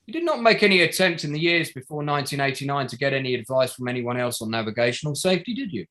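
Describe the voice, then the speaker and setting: A man's voice: medium pitch, lively intonation, quick pace, bright, forceful tone. Man playing a sardonic barrister, swooping delivery. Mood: sceptical, pressing. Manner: pointed, formal, rhetorical tag questions. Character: probing, sardonic male barrister at a public inquiry. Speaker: actor in an online table read. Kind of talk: courtroom-style cross-examination in a play reading.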